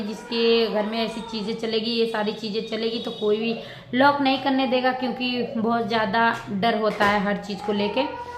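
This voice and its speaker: High-voiced woman in an echoey room. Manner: rambling, repetitive, halting. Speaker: vlogger. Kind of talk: casual reaction commentary addressed to viewers. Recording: roomy echo with background noise.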